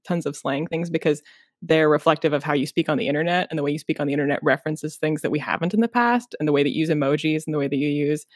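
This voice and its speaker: A woman's voice: low-pitched, fast, dry recording, clean studio sound. A young woman hosting a casual interview over a video call from her home, chatty and thinking aloud. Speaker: host. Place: home room with bookshelves.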